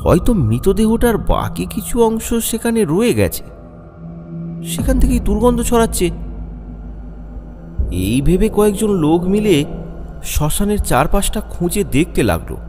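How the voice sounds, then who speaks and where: Guy narrating a spooky story, dramatic, lots of pitch movement, music behind. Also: sing-song, moderate pace, pauses often. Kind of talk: scripted horror story narration.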